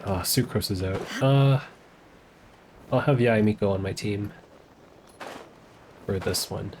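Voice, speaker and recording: A man's voice: on the low side, slow pace, darkish tone, a little hiss or hum. A man live streaming a game, chatting casually and muttering to himself in an easygoing way.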